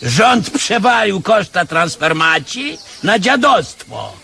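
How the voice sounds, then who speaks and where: A man's voice: sits high, big pitch swings, slow pace, vocal fry, sharp tone, slight background noise, heavily acted. An actor playing a combative, sly male beggar who declaims, in a radio comedy drama. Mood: preachy. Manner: declamatory, folksy, cutting.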